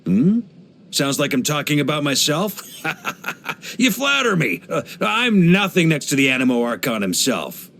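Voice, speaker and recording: An actor playing a hearty, easygoing veteran knight grandmaster in a video game, speaking in an expressive, playful, conversational way. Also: male voice, fairly high pitch, measured pace, gravelly, slight background noise, full character voice. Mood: amused, fond. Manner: jovial, expressive, playful, chuckling asides.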